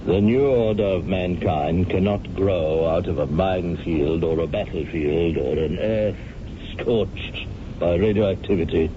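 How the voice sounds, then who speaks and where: Deep-voiced genial older banker, muffled vintage radio hiss. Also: male voice, measured pace. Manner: genial, slightly flustered. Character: Otto, oldest male banker of the society. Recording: muffled hissy old radio broadcast.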